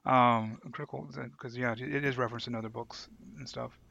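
A man co-hosting a podcast, explaining his thoughts in a halting, reflective, conversational way. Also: mid-range voice, fairly even intonation, creaky, bright, forceful tone, dry recording, muffled recording. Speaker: podcast co-host. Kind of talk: casual discussion.

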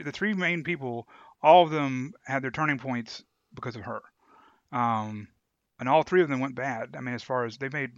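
A man's voice: medium pitch, a bit bright, no room sound, lo-fi sound. A man co-hosting a podcast in a casual book discussion, hesitant and often searching for words. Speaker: podcast co-host.

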